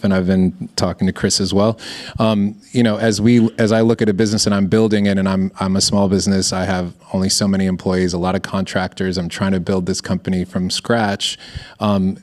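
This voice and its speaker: A man with a deep voice answers as a panelist. His intonation stays quite flat. He speaks conversationally and thoughtfully, halting and reworking phrases as he goes. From born in Ethiopia. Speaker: panelist, business founder.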